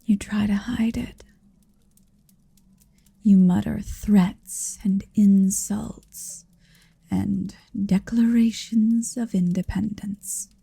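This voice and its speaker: A woman voicing a possessive, seductive motherly dragon in an intimate ASMR roleplay, breathy and whispery, speaking slowly and deliberately close to the microphone. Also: mid-range voice, steady tone, warm tone, no room sound, exaggerated voice. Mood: tender, teasing. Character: possessive, seductive motherly female dragon hoarding her captive. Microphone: close microphone, dry.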